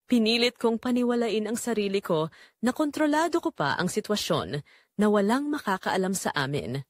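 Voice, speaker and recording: A woman reading a romance story as dramatic scripted narration, in a measured, emotive way. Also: mid-range voice, very animated, no echo, clean studio sound.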